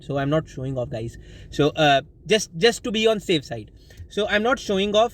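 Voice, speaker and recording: A man's voice: high voice, a bit bright. A young man from Pakistan with a Pakistani accent, whose first language is Urdu, giving rambling, apologetic study advice full of fillers and restarts, recorded inside his car. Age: young adult. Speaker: student vlogger. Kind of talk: casual advice vlog.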